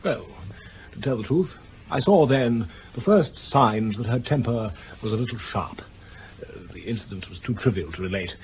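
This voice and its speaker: An actor playing a pompous, easily affronted aristocrat in a radio drama, with an upper-class English accent and a stiff, hesitant delivery. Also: male voice, on the low side, moderate pace, darkish tone, lightly acted. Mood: defensive, disdainful. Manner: pompous, stiff, hesitant over delicate words. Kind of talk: 1950s-60s BBC radio drama acting. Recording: muffled, hissy old radio recording.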